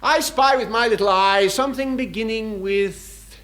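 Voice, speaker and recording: A man's voice: high voice, moderate pace, a bit bright. A man playing a suave but silly naval officer in a radio sitcom, polite, wordy and mock-dramatic. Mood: breezy. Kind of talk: 1960s radio sitcom acting.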